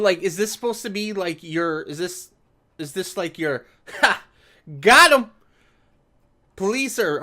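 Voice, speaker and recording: Mocking youtuber type reading stuff out and commenting, medium pitched voice, very informal. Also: sharp tone. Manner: sarcastic, mocking. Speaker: video commentator. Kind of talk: reading an article aloud with reaction commentary.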